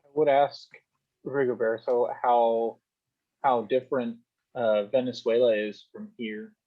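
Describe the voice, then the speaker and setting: A male student giving hesitant answers to questions, pausing to think as he goes. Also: medium pitch, level tone, narrow, tinny audio. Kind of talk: halting spoken answers.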